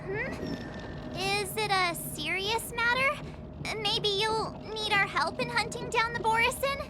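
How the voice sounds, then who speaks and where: A woman's voice: very high voice, measured pace, noisy background. A woman voicing a cheerful, curious young girl traveler in an anime game. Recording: loud music behind dialogue.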